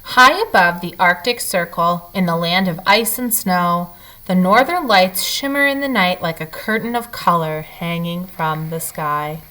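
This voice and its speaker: A woman reading a children's picture book aloud as a storyteller, with expressive, dramatic emphasis for young listeners. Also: on the low side, a little slow, rough recording.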